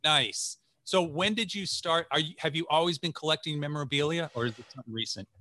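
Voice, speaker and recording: A man's voice: on the high side, fast, pushed and bright, no echo, studio quality. A man in his forties hosting a podcast, chatting enthusiastically with a guest over a video call. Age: 40s. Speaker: podcast host. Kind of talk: casual interview chat.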